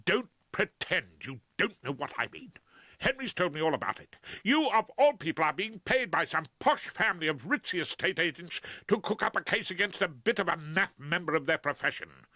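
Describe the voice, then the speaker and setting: Man playing a wrathful, sharp-voiced radical woman lawyer. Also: high voice, very animated, normal speed, no echo, voice pushed up, full character voice. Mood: accusing. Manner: indignant, sarcastic. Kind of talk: audiobook character voice.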